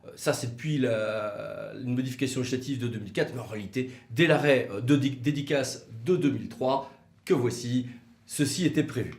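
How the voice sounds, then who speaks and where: A man's voice: medium pitch, darkish tone. Echoey male legal explainer, conversational. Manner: conversational, hesitant pauses, rhetorical questions. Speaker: legal commentator for a law firm, co-presenter. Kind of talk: explanatory legal video, semi-scripted two-hander. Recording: roomy, echoing sound.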